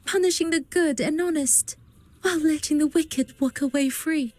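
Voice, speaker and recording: A woman's voice: high-pitched, normal speed, a little mellow, dry recording, faint music behind, close to an everyday voice. An actress voicing an earnest, defiant young woman in a video game, guarded in manner. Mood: bitter.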